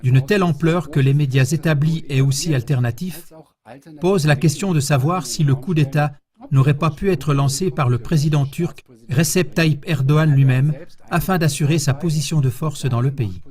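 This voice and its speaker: A male voice-over narrator reads a news segment in a steady, formal manner. His voice sits somewhat higher than most men's, and he keeps a measured, scripted delivery throughout.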